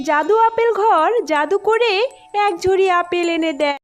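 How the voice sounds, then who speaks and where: Extremely high-pitched woman storyteller. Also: moderate pace, over music. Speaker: narrator. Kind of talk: scripted cartoon story narration.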